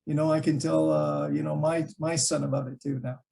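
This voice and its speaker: Gravelly-voiced man, chatty interview guest. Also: fairly high pitch, level tone, brisk, mellow. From Canada. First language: Calabrese Italian dialect. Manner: thinks aloud with many fillers and restarts. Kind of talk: relaxed conversational interview. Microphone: video call.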